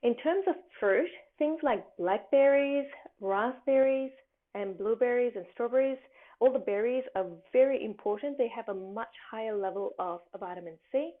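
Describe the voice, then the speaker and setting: A woman's voice: fairly high pitch, normal speed. Woman giving health tips over a tinny line. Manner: chatty, rambling, many fillers. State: slightly croaky from talking all day. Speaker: wellness presenter. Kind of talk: casual health talk. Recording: phone-like narrow sound.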